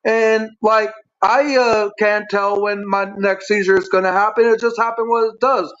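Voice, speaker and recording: A man speaking as a talk show guest with a stutter, explaining himself in a halting, repetitive, long-winded way. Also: high voice, fairly even intonation, fairly bright tone. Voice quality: stuttering speech impairment. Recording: dull, lo-fi stream audio.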